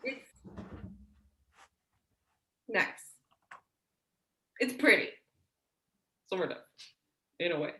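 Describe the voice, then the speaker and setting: Woman acting young woman, stage drama, protective, teasing, blunt, sharp bright tone, echoey room. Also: medium pitch, lively intonation, extremely slow, pauses often, full character voice. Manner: sardonic, casual, sweary. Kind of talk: staged play reading, naturalistic dialogue. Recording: roomy, echoey sound.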